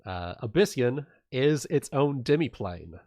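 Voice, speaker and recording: A man acting as game master on a casual livestream, explaining and recapping the game in an informal, halting way with some swearing. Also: medium pitch, animated, mellow, dry recording, studio quality.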